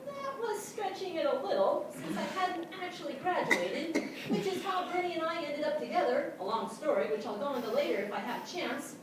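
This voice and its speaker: A woman voicing an excitable, boastful male dog who narrates a story in a theatrical stage reading, with expressive, punchy phrasing. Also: sits high, a little fast, few pauses. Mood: boastful, comic. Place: small theatre stage, live audience. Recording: echoey theatre from far off, noisy.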